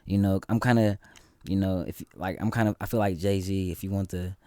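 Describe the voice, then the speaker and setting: A man's voice: deep voice, fairly quick, clean tone, dry recording, studio quality. A man from the San Francisco Bay Area, a guest musician chatting casually, with lots of ums and restarts. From San Francisco Bay Area, California. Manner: relaxed, many ums and restarts. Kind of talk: casual conversation.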